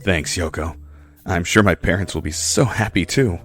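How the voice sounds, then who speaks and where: An actor playing a meek, people-pleasing young husband who talks in a hesitant, pleading way, with faint music behind. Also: male voice, sits low, normal speed, fairly bright tone.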